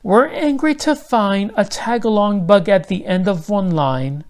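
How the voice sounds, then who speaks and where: A man's voice: high voice, unhurried, pitched above the performer's own voice. A man voicing a fussy, haughty female insect queen with formal royal speech in a storybook. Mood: displeased.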